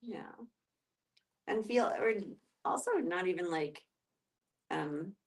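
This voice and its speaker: A woman in a casual group discussion, talking haltingly and voicing other people's imagined thoughts. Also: fairly low pitch, expressive. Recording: voice picked up from a distance.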